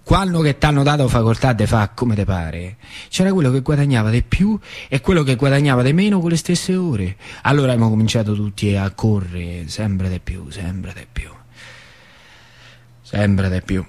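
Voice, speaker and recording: An actor playing a plainspoken, wistful older factory worker with a central Italian, Lazio accent, in a theatrical monologue with colloquial repetitions. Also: male voice, fairly low pitch, animated, normal speed. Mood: wistful, brooding. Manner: colloquial, repetitive, dramatic swings from emphatic to hushed. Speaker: stage actor.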